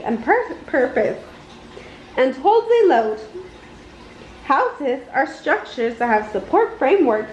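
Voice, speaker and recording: A woman reading a children's picture book aloud with an expressive, deliberate storytelling delivery in an indoor room. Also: high-pitched. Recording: roomy, dull home recording with noise.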